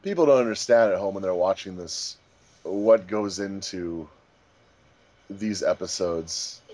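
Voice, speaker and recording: A man chatting casually as a director on a commentary track, explaining things with frequent pauses. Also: low voice, mellow, no echo. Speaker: fandub director and voice actor on commentary.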